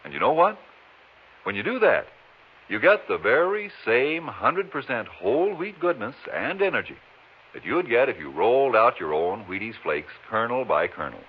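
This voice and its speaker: A man's voice: mid-range voice, sing-song, normal speed, breathy edge, phone-quality sound. A man delivering a chatty, folksy scripted advertisement on 1950s radio. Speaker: commercial pitchman. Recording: thin, band-limited old radio recording.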